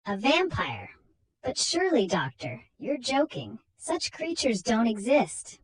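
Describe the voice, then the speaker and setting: Woman voicing a folksy, skeptical fellow, pitched up. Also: huge pitch swings, measured pace, husky, full character voice, poor audio. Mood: incredulous. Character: chatty male friend, teasing and skeptical.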